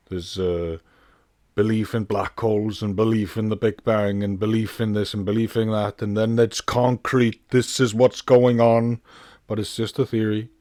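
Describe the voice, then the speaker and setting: Male commentator, lowish pitch, dry sound. Unscripted, halting, emphatic. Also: warm tone, dry recording. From Iceland. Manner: halting, emphatic, rhetorical questioning. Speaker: video commentator.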